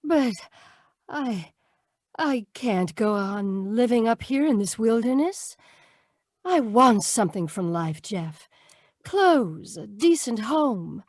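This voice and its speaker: A woman's voice: on the high side, expressive, slow, dry recording, studio quality. A woman playing a selfish, scheming cafe singer with expensive tastes, world-weary, in old-time radio drama style. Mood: coy, dissatisfied.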